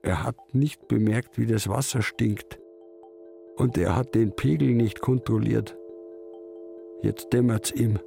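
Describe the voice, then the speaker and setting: Radio play role: stubborn old fisherman, dark gravelly tone, brooding colloquial storyteller. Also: lowish voice, takes it slowly, long pauses, soft background music, heavily acted. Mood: brooding, uneasy. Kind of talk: literary radio drama narration in third person.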